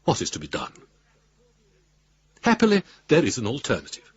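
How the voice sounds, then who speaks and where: An actor playing a pompous senior lawyer in a radio drama, reading a formal, wordy letter in a measured way. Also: male voice, medium pitch, huge pitch swings, breathy edge, no echo, heavily acted. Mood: smug, veiled threat. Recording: dull lo-fi radio recording.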